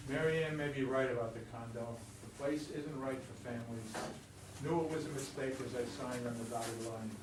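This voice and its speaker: A man's voice: mid-range voice, moderate pace, close to an everyday voice. A man reading the part of a brash, world-weary gambler husband in an amateur stage play. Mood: weary musing. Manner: blunt, colloquial, grumbling. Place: indoor room at a live reading. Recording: echoey room, distant mic, noisy.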